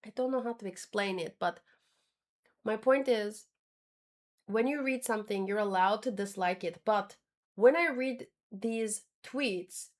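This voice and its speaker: A woman's voice: mid-range voice, measured pace. A woman talking casually and informally as a livestreamer giving commentary, often reworking her sentences as she goes. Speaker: streamer reacting to manga.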